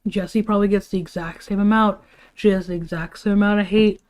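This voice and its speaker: A woman's voice: mid-range voice, steady tone, brisk, darkish tone. Tired-sounding woman livestreaming casually. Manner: informal, opinionated, swears casually. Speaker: livestream host. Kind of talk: casual rambling livestream commentary.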